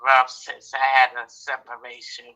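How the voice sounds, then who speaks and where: A woman's voice: extremely low pitch, flat delivery, normal speed, sharp tone. A woman in her fifties from Harlem with a New York accent, calling in and talking in a subdued, confiding way. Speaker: caller. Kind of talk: phone-in conversation. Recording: tinny phone line.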